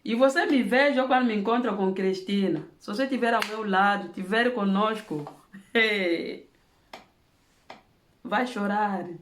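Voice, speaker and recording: A woman's voice: mid-range voice, unhurried, roomy sound, slight background noise. A woman from Angola with an Angolan Portuguese accent, giving earnest, emphatic advice in a monologue. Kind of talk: impassioned monologue. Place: home in Germany.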